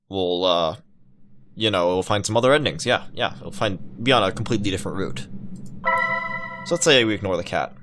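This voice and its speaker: A man hosting a gaming video, reading a visual novel aloud with casual, halting, rambling commentary. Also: lowish voice, noisy background.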